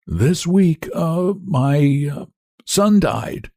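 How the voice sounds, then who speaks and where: A man doing a husky, grieving old cabman. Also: on the high side, lively intonation, warm tone, no room sound, studio quality, voice pushed up, heavily acted. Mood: grieving, halting. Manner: halting, hesitant, with fillers. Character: old grieving sledge driver, meek and humble.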